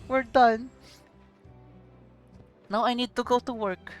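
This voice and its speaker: Slow-paced Filipino game streamer. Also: mid-range voice, steady tone. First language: Tagalog. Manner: code-switching, halting, trailing off. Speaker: game livestreamer. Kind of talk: casual live reaction commentary. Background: game music.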